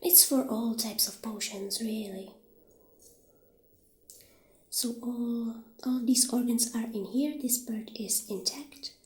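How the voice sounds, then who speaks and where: A friendly witch shopkeeper acted out by a woman in an ASMR roleplay, speaking very slowly in a highish, soft, breathy half-whisper. The delivery is gentle and hesitant, and the room rings a little around the voice. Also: roomy sound. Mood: gentle, explaining. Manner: gentle, unhurried, hesitant pauses. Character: friendly witch shopkeeper showing off potion ingredients.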